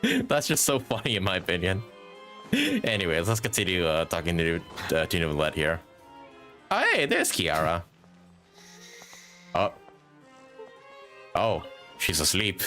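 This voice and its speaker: A man's voice: sits low, wildly swooping pitch, a little slow, long pauses, bright, forceful tone. A man live streaming a game with informal, chuckling commentary and some swearing. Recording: game music behind.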